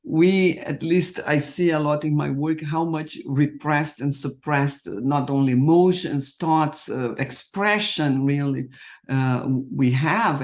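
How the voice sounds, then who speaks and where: A man hosting an interview in English, which is not his first language, asking questions haltingly as he searches for words. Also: medium pitch, darkish tone. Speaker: interview host.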